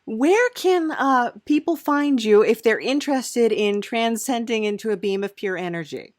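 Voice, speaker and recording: A woman's voice: on the high side, animated, moderate pace, no echo, studio quality. A woman hosting a podcast in a playful, casual style. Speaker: podcast host. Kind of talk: playful character interview and casual sign-off.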